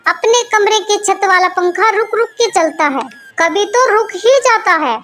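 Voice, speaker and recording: A woman's voice: very high voice, measured pace, fairly bright tone, slight background noise, voice pushed up. A woman voicing a plaintive, fretful old wife and doting mother in a dramatized moral story. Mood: tearful complaint.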